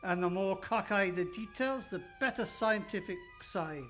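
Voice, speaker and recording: A man's voice: high-pitched, moderate pace, a bit bright, faint music behind. A man playing a fatherly older psychoanalyst in an old-time radio drama, coaxing and insistent, with slightly broken phrasing. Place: radio studio, live radio play.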